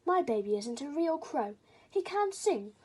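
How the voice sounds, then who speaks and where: Woman doing a fretful father crow, raised pitch. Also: big pitch swings, unhurried, darkish tone. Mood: dismayed, pleading. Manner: exclaiming, pleading. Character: anxious, dramatic father crow, fretting over his young son.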